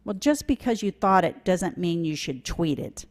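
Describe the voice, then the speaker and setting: A woman's voice: on the low side, very animated, moderate pace. A woman voicing a sensible, fed-up female vulture in a children's story read aloud, blunt and exasperated. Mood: upset.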